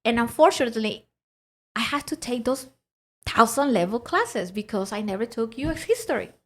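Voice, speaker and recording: A woman's voice: fairly high pitch, animated, normal speed, a bit bright. A woman from Peru speaking English with a Peruvian Spanish accent, telling her story animatedly in a casual interview and laughing often. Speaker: guest.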